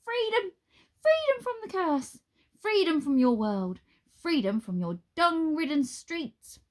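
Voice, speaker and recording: A woman voicing a flamboyant, excitable male wizard who speaks in a grand, overly polite way. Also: sits high, slow pace, voice pushed up, heavily acted. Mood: gleeful.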